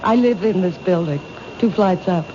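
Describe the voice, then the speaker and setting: An actress playing a polite, reserved bookshop owner in a 1970s radio drama, courteous and restrained. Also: female voice, lowish voice, moderate pace, lightly acted. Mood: reserved, warming. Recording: dull old radio recording, light noise.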